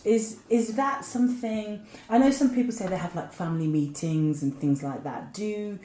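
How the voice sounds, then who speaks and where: A woman's voice: mid-range voice, moderate pace, dark tone. A woman co-hosting a podcast, asking long, winding questions in a chatty way. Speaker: podcast co-host. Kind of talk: interview questions. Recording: echoey room, faint noise.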